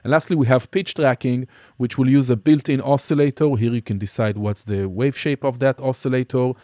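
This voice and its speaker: A man giving an informal, explanatory software walkthrough as a music production instructor. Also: medium pitch, fairly even intonation, brisk, no room sound, clean studio sound. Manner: casual, explanatory. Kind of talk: screen-recorded software tutorial, informal walkthrough.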